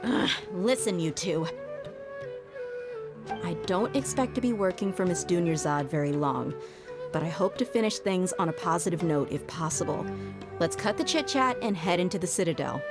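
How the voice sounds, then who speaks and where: A woman's voice: lowish voice, animated, moderate pace, music in the background. A woman playing a tough, pragmatic young bodyguard in a video game, blunt and casual in a streetwise way. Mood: exasperated, then businesslike.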